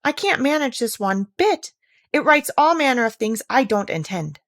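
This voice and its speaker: A woman's voice: on the high side, very animated, moderate pace, pitched above the performer's own voice. Woman playing a flustered, fussy storybook king. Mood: flustered, exasperated. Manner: dramatic, flustered.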